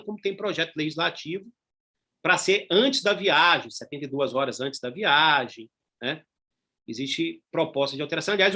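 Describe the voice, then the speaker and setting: A man's voice: on the high side, pushed and bright. A middle-aged man with a Brazilian Portuguese accent giving a conversational law lecture, full of tag questions and asides. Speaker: law professor.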